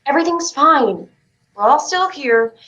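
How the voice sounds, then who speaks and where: Woman acting high-voiced stubborn, dreamy child. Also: lively intonation, a little slow, sharp tone, heavily acted. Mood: fed up, scolding. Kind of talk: staged play reading over livestream.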